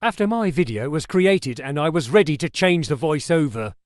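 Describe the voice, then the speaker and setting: A man casually narrating a tutorial as its host, in a relaxed, chatty way. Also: a little high, normal speed, dry recording, studio quality. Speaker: tech video host.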